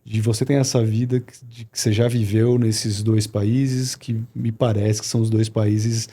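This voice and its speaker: A man's voice: on the low side, level tone, moderate pace, warm tone, husky. A man hosting a podcast interview in a casual, friendly way, agreeing with his guest and asking questions. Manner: friendly, with agreeing remarks and laughter. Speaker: podcast host.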